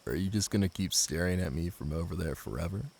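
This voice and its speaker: A man's voice: fast talker, vocal fry, very noisy. A man playing a brooding, possessive young mafia heir and new husband in an intimate roleplay audio drama, blunt and commanding yet protective. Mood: firm. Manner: blunt, commanding, swearing, low-key intimate delivery.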